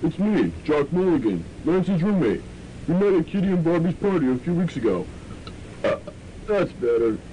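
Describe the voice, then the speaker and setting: A man playing a dim, lumbering young jock with a casual, slow-witted manner in a campy college radio drama. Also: very animated, warm tone, dull audio. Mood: oafish, hopeful. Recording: amateur student radio, faint rumbling noise.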